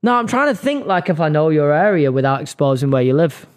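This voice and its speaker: A man hosts a casual chat show in a slightly high voice. He talks very quickly, with blunt banter and plenty of swearing. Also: sing-song. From Manchester, England. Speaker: podcast host.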